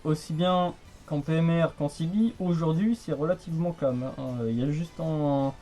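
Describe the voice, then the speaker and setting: A man's voice: fairly high pitch, steady tone, moderate pace, mellow, faint music behind. A young man chatting casually over the radio in a rambling, repetitive, colloquial way. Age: young adult. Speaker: radio hobbyist, channel host. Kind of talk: casual radio contact chat.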